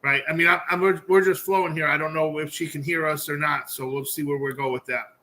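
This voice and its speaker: A man co-hosting a talk show, adding quick points and tagging them with "right?". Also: fairly high pitch, fairly even intonation, pushed and bright. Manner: chimes in with quick agreement, "right?" tags. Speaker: co-host. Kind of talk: casual financial talk show chat.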